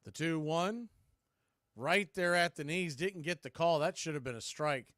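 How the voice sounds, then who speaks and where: Male play-by-play baseball announcer. Crisp, slightly high voice, very dry sound, clipped live calls. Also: a little slow, a bit bright, no room sound. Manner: clipped phrases, calls rising on the action. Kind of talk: live play-by-play commentary on a stream.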